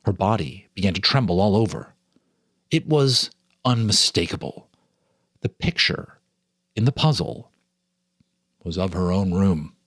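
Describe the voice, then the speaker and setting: A man giving a dramatic reading of a ghost story, with suspenseful, deliberate phrasing and dramatic pauses. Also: low-pitched, expressive, fairly bright tone, husky, no echo, studio quality. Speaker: storyteller, narrator and host.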